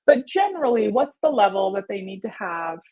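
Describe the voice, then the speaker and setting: A woman's voice: mid-range voice, very animated, brisk, narrow, tinny audio. A woman casually hosting a livestream and reading out viewer questions.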